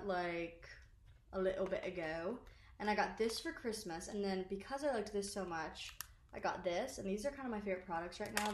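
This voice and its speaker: A woman's voice: medium pitch, slight background noise. Chatty young woman in an echoey room. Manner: rambling, chatty, many "like" fillers, self-deprecating. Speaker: social media creator. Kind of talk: casual chat to camera. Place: small bedroom, indoors.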